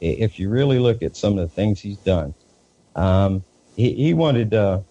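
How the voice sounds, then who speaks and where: A man with a Southern US accent, a reporter being interviewed, talking in a halting way with many fillers and repeated words. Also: fairly low pitch, expressive, mellow, dull audio. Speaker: independent news reporter, guest. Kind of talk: casual interview talk.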